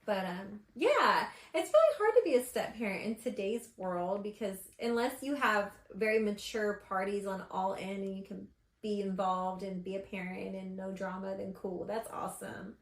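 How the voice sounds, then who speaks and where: A woman's voice: mid-range voice, expressive, normal speed. A woman co-hosting a podcast in casual chat, laughing often and talking with animation. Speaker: podcast co-host. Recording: echoey room.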